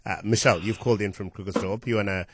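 A man hosting a call-in radio show, explaining points in a measured, hesitant way with frequent fillers. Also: fairly low pitch, fairly even intonation, background noise. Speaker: radio talk show host. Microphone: studio microphone.

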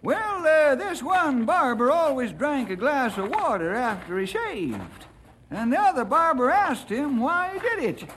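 An actor playing a folksy, cackling old-timer ranger sidekick in a western radio drama, with rustic slang. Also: male voice, very high voice, very animated, unhurried, fairly bright tone, slight background noise, full character voice. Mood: storytelling. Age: older.

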